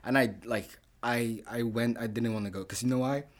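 A man's voice: mid-range voice, steady tone, normal speed. Young man chatting with lots of fillers. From Rwanda, raised in the Netherlands. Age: young adult. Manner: rambling, laughing, lots of fillers and restarts. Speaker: podcast co-host. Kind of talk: casual chat.

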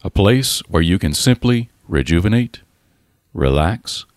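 A man narrating a scripted guided relaxation in a calm, soothing, deliberate manner with pauses. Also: low voice, no echo, studio quality.

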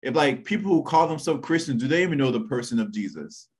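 A man's voice: medium pitch, expressive, quick pace. A man hosting a conversational faith podcast, emphatic and a little preacherly, repeating phrases for effect. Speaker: podcast host. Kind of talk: conversational interview with preacherly scripture reading.

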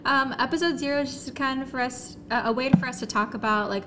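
A woman's voice: sits high, quick pace, bright, forceful tone. A young American woman from San Francisco co-hosting a podcast in an informal, chatty way. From San Francisco, United States. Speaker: podcast co-host and barista. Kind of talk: casual podcast chat. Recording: clear background noise.

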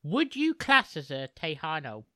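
A man's voice: a little high, wildly swooping pitch, unhurried, pushed and bright, no room sound, clean studio sound. A man co-hosting a casual podcast, blunt and teasing in his questions. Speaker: podcast co-host. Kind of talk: casual chat.

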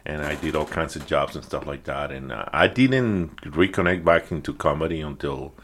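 Mexican-accented man, very low voice, relaxed storyteller with plenty of ums and restarts. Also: a little hiss or hum. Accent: Mexican Spanish. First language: Spanish. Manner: unhurried, frequent fillers and restarts. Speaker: comedian guest. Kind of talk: casual storytelling chat.

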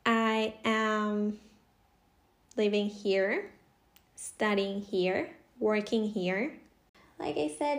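A woman in her twenties from Costa Rica, a native Spanish speaker, hosting a casual solo podcast in a chatty way, often laughing and restarting her sentences. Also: medium pitch. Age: 20s. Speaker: podcast host.

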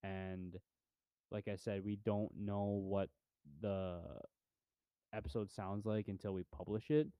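A man's voice: fairly low pitch, level tone, measured pace, clear, resonant tone, no room sound, muffled recording. A young man co-hosting a podcast, chatting casually about movies in a laid-back, rambling way with lots of fillers. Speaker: podcast co-host. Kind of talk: casual movie review chat.

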